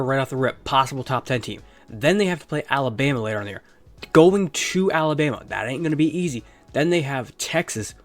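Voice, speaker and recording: A young man hosting his own sports talk video, congested from illness, rambling casually and swearing now and then. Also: medium pitch. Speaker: sports channel host.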